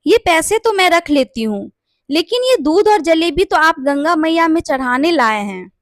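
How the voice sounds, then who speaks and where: A woman's voice: very high voice, sing-song, normal speed, no room sound, studio quality. A woman playing an honest village priest's wife in a cartoon bedtime story, reproachful and moralizing. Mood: disapproving. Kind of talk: animated bedtime story character acting.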